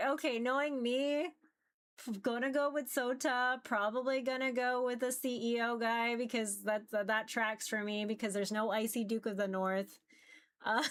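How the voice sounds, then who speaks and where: A woman with a somewhat high voice talks to her livestream viewers. She goes slowly, in a loose, rambling and informal way. Her manner is giggly, like someone hanging out with chat during a game stream. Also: fairly bright tone. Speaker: visual novel streamer.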